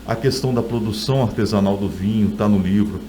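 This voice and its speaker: A man's voice: lowish voice, fairly quick, warm tone, slight background noise. A middle-aged man with a Brazilian accent, an author telling stories in a conversational interview.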